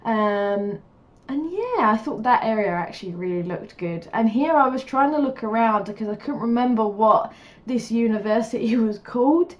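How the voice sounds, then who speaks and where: A woman's voice: on the high side, animated, normal speed. Stuffed-up woman, chatty and rambling. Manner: rambling and chatty, lots of fillers, laughs often. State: stuffed up with a cold, sniffling. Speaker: gaming YouTuber. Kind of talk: casual voice-over commentary on a building game.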